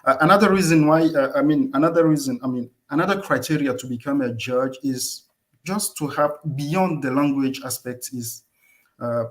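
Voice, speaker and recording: Male guest, mid pitch, halting explainer full of uhs and restarts. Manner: halting, many uhs and self-corrections. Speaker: guest researcher. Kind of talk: explaining in an interview.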